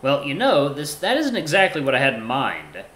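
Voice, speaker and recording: A man's voice: mid-range voice, huge pitch swings, moderate pace, a bit bright, reverberant, voice pushed up. A man voicing an easygoing young male hero in a story, casual and friendly with a wry edge.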